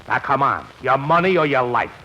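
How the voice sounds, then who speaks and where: A man's voice: medium pitch, expressive, normal speed, bright, forceful tone, dull audio. An actor playing a curt, menacing stickup man in a 1940s radio comedy. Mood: threatening. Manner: curt, threatening, commanding. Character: gruff, menacing male street stickup robber. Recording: old 1940s radio broadcast, hiss.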